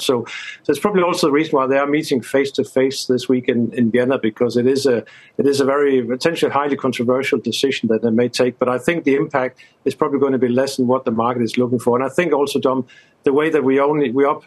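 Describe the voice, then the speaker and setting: Halting male analyst, medium pitch. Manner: halting, repeats words mid-sentence. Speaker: bank commodities analyst, interview guest. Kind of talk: live interview commentary.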